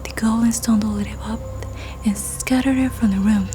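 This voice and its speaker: A woman whispering a folk tale as ASMR storytelling, soft, hushed and unhurried. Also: a little high, steady tone. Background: music.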